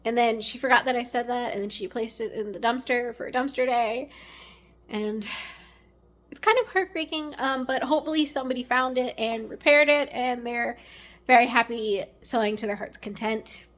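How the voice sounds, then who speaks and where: A young woman chatting casually to her camera at home, rambling with fillers and dropping into whispered asides, her voice a little raspy. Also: fairly high pitch, moderate pace, a bit bright. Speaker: vlogger.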